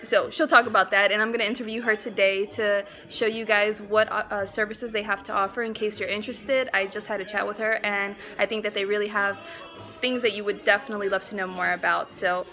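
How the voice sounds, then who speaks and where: A woman's voice: medium pitch, flat delivery, fairly quick, sharp tone. A young woman hosting a casual, conversational interview. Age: young adult. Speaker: interviewer and vlog host. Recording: music behind the voice.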